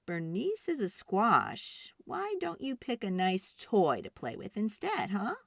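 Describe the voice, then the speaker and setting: A woman puts on a hesitant father for a children's storybook. The delivery is slow and coaxing, tentatively reasoning, in a very dry recording. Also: medium pitch, wildly swooping pitch, gravelly, no echo, full character voice.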